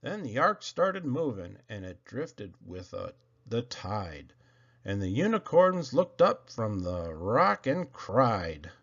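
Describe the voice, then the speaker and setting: A man reading children's poetry aloud in an animated, theatrical way with drawn-out phrasing. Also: on the low side, muffled recording. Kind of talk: expressive read-aloud of children's verse.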